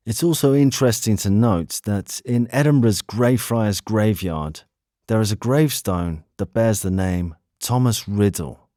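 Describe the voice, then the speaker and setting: A man with a fairly low voice narrates a scripted city tour for language learners. His delivery is measured and clearly phrased, and the recording is dry and close. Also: darkish tone, no echo. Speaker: narrator of an English lesson.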